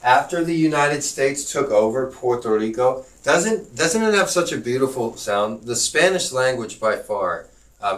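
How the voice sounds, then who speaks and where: A man's voice: medium pitch, a bit bright, recorded from a distance. A man teaching a live-streamed geography class, rambling with lots of fillers, restarts and joking asides.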